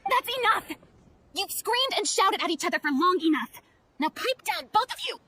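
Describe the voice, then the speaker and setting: A woman's voice: very high-pitched, big pitch swings, normal speed, sharp tone, full character voice. A woman voicing a proud, easily flustered young woman with dramatic, stammering bluster in a video game. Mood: scolding.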